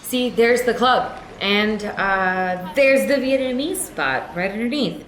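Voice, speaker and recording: A woman's voice: fairly high pitch, lively intonation, slow pace, fairly bright tone, faint music behind. A woman playing a pretentious mystic adventurer in an improvised comedy radio play, with a pompous manner. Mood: eager. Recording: roomy echo.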